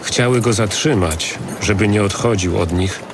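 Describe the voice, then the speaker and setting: A man narrating the Gospel in a measured, calm scripted reading. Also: lowish voice, background noise.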